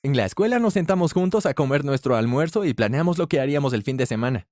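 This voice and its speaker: A man narrating a scripted first-person story with animated delivery, shifting his pitch when he voices the characters. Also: quick pace, no echo, studio quality.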